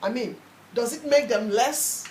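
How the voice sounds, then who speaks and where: A woman's voice: on the high side, a little slow, darkish tone, echoey room, a little hiss or hum. A woman hosting a radio talk show who introduces her guests and presses them with repeated questions.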